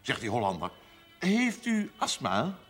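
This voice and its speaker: A wry village farmer, music behind. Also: male voice, mid-range voice, wildly swooping pitch, fairly bright tone. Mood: droll. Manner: droll, folksy storytelling with deliberate emphasis. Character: wry, self-made village farmer, folksy joker and sceptic. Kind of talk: television period drama acting.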